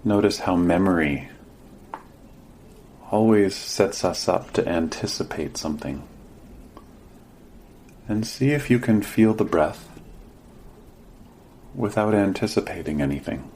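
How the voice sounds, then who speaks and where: Slow, very low-voiced man guiding meditation. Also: expressive, a little hiss or hum. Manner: gentle, sparse instructions with long pauses. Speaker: meditation teacher. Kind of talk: guided meditation.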